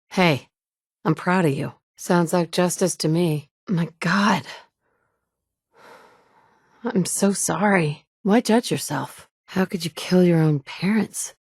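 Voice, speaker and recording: A woman's voice: lowish voice, darkish tone, no room sound, studio quality. A woman voicing a tough, blunt female survivor in a game, with curt, commanding lines. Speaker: player character. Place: recording studio. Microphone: close studio mic.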